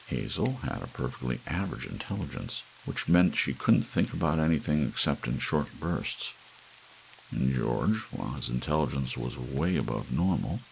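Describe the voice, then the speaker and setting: A man narrating a scripted story as an ASMR audiobook, with soft rain behind him. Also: rumbling low, gravelly, poor audio. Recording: faint rain hiss throughout.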